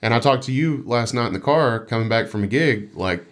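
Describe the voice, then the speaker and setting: A man's voice: medium pitch, animated, brisk. Joking man co-hosting a podcast. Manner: joking, playful. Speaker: podcast co-host. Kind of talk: casual gaming podcast chat.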